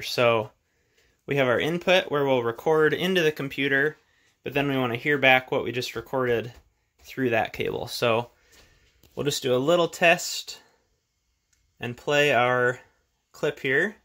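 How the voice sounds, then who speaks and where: Male, thirties, bright forward voice, casual hobbyist host explaining things plainly, small indoor room. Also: mid-range voice, a little slow, long pauses. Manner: explains step by step in plain words. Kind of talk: casual hands-on tutorial talk.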